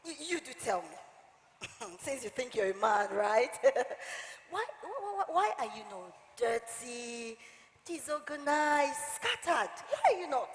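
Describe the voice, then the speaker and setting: A woman's voice: sits high, big pitch swings, slow, full character voice. An actress on stage playing a bossy, exasperated married madam who mocks and scolds her servant theatrically. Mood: incredulous, taunting. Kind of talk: stage play acting. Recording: echoey hall stage recording.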